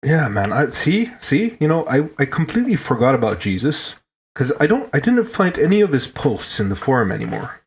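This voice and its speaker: Husky man with a Finnish accent. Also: on the high side, big pitch swings, a little fast. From Hong Kong. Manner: joking, hesitant, restarts words. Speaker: podcast guest. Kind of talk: casual chat.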